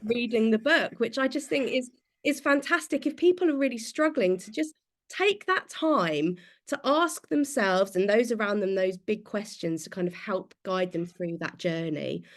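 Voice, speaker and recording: Enthusiastic woman introducing a speaker. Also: fairly high pitch. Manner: warm, enthusiastic, slightly halting. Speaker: webinar host. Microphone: video call.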